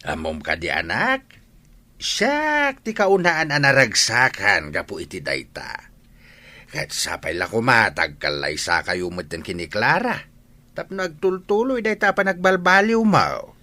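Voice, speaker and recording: A man's voice: medium pitch, wildly swooping pitch, measured pace, pushed and bright, exaggerated voice. A man playing a stern, worried father in an audio drama, speaking with emphatic admonishment. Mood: earnest, hopeful. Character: stern, worried father advising his grown son.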